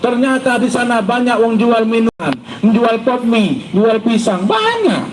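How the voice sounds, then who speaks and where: High-voiced joking ustaz in echoey hall. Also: male voice, expressive, unhurried. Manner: joking, quizzes the audience. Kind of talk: humorous sermon to school students. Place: school event hall. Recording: echoey hall, background noise and traffic.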